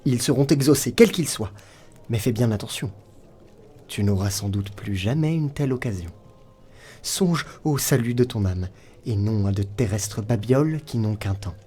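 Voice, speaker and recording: A man's voice: mid-range voice, expressive, normal speed, dark tone, a little hiss or hum, natural voice. A man acting male characters of a folk tale in an audio drama, speaking in a theatrical, emphatic manner. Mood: solemn, admonishing. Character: male folk-tale characters: devil, saint, wily blacksmith.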